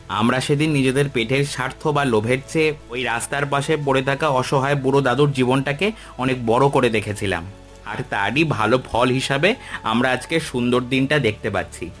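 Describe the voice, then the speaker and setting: A man voicing a humble, kindly village farmer in an animated moral story, respectful and earnest. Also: medium pitch, normal speed, soft background music, natural voice. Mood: tender, wise.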